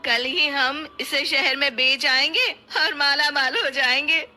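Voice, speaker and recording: A woman's voice: sits high, takes it slowly, over music, voice pushed up. A woman voicing a greedy, scheming woman who poses as a witch in a cartoon story, gloating as she talks. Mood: gleeful. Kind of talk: cartoon moral story voice acting.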